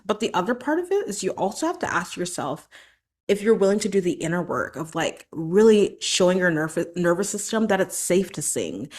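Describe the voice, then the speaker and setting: Woman, podcast host, vocal coach, slightly low pitch, chatty, encouraging. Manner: chatty and encouraging, frequent "like" and "right?". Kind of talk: solo podcast monologue.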